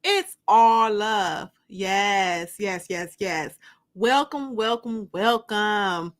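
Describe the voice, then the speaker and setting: A woman hosting a livestream as an author, chatting and reading dramatically from a book with drawn-out, emphatic delivery. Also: medium pitch, fairly bright tone. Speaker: host and author.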